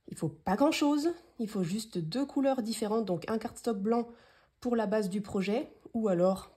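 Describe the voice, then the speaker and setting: A woman from France hosts a relaxed craft tutorial while nursing a head cold. Her voice is mellow and a little husky, and she rambles along with plenty of fillers as she works. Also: mid-range voice. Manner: chatty, rambling, lots of fillers. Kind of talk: casual hands-on craft tutorial.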